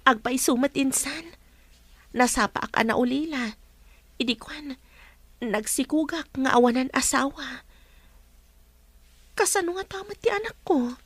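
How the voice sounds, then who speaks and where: High-voiced, sorrowful Ilocano young mother played by a woman. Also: unhurried, long pauses, a bit bright, dry recording. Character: sorrowful orphaned unwed new mother. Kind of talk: Ilocano radio drama acting.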